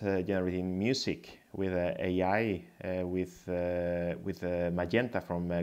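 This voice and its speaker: Male presenter, low pitch, native Spanish speaker with Spanish accent, explanatory slide-talk delivery. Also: a little mellow. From Spain. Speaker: guest lecturer, tech founder.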